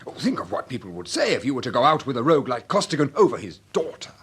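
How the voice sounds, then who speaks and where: Breathy, animated English young dandy. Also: male voice, mid-range voice, fast talker. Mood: concerned. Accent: upper-class English. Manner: hesitant, polite, eager. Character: genial, deferential young English dandy gentleman. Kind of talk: period radio drama acting.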